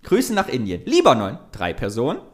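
A man's voice: medium pitch, wildly swooping pitch, brisk. A man with a Thuringian accent, co-hosting a podcast in casual chat, chatty and often laughing as he talks. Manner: chatty, laughs while talking, listing things off. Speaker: podcast co-host.

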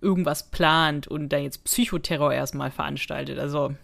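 A woman's voice: sits low, fairly even intonation, moderate pace, vocal fry, a bit bright. A woman co-hosting a podcast, talking in a chatty, informal way as she reviews an audio drama. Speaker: podcast co-host.

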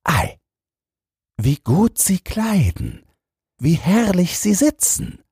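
A man performs a timid, flattering courtier from a storybook. The voice leaps well up high and lingers slowly on each admiring word, husky and gushing. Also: no echo, clean studio sound, full character voice. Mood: gushing admiration. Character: fawning, gushing royal courtiers, vain and timid. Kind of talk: fairy-tale audiobook character voice.

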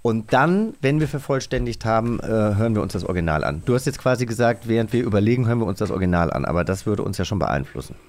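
A man's voice: lowish voice, animated, quick pace, few pauses, darkish tone. A man hosting a podcast quiz in a playful, teasing chat style. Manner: joking, teasing. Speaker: podcast host.

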